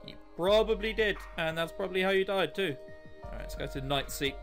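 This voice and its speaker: A man's voice: high-pitched, brisk, over music. A young man hosting a let's play, reading the game text aloud and making sarcastic, sweary remarks about the story.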